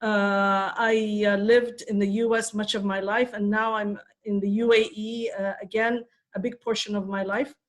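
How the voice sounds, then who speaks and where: A woman's voice: mid-range voice, little pitch movement, normal speed. A woman in her fifties from Ethiopia, giving a conversational talk on a panel with frequent fillers. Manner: conversational, many fillers and restarts. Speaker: conference speaker.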